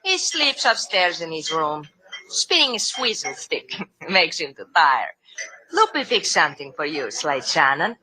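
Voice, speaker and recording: Jealous dangerous bar woman, broken english, taunting, very animated, forceful, radio drama. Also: deep voice, unhurried, full character voice, poor audio. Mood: mocking, playful. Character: fiery, jealous, dangerous foreign barroom woman. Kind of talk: 1950s radio drama acting.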